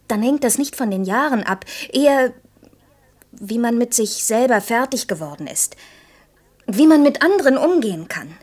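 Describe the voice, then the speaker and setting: A woman's voice: fairly high pitch, breathy edge, full character voice. A young actress playing a proud, outspoken young working woman in an intimate German radio drama, earnest and reproachful. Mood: earnest, searching. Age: young adult. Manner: earnest, reproachful, shifting between insistent outbursts and hushed confiding.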